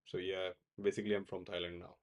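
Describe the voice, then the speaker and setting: Man from Pakistan, low voice, halting casual livestream talk full of "uh" and repeats. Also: little pitch movement. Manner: halting, many fillers and repeated words. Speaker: crypto trading livestream host. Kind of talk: casual live-stream chat answering viewers.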